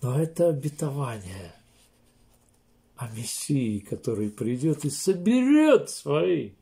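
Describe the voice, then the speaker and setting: Older guy pastor, slow emphatic sermon, seated in a small room close to the cam. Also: mid-range voice, very animated, vocal fry, mellow. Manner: slow, emphatic preaching with many pauses and restarts.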